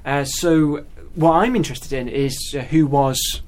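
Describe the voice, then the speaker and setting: A man telling stories as a guest in casual conversation, animated and often repeating words as he goes. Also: fairly high pitch, measured pace. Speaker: guest mathematician.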